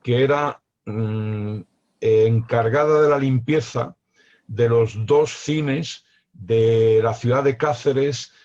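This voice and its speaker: An unhurried man in his sixties with a Spanish accent from Spain, thinking aloud on a panel with frequent pauses and restarts. His voice sits at a middling pitch and comes through a somewhat dull, lo-fi video call line. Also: a little mellow. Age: 60s. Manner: slow, reflective, hesitant with many pauses. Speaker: poet guest. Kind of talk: panel discussion.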